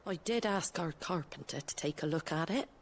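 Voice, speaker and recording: A woman's voice: low-pitched, moderate pace. A woman playing a wary, plain-spoken landlady in a fantasy game, with a working-class regional English accent and a blunt, folksy manner. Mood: matter-of-fact, worried. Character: wary, plain-spoken innkeeper landlady, no-nonsense but helpful. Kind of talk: dramatic video game cutscene acting.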